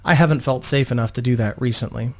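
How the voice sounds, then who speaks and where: A man's voice: medium pitch, quick pace, natural voice. A man voicing a young female opera singer, polite, grateful and slightly anxious, in a storybook reading. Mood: apologetic.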